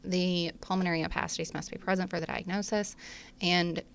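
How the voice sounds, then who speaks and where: A woman's voice: lowish voice, level tone, fairly quick, gravelly, slight background noise. A woman physician giving medical lecture commentary in formal, technical phrasing.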